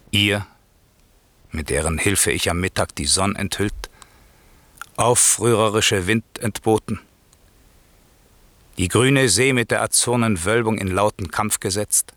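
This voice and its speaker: A wronged sorcerer lord in a classical radio play. His voice is very low and he speaks slowly, with grave, declamatory weight. He sounds stern and commanding, yet bent on mercy. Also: a bit bright, no room sound. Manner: solemn, declamatory, measured. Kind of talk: German classical radio drama verse recitation.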